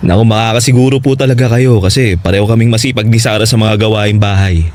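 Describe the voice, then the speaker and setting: Man playing respectful young husband, Filipino accent, fast pace, slightly deep, agreeable, radio drama. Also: steady tone, a little mellow, close to an everyday voice. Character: polite, hardworking young husband, humble tenant. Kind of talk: Tagalog radio drama acting.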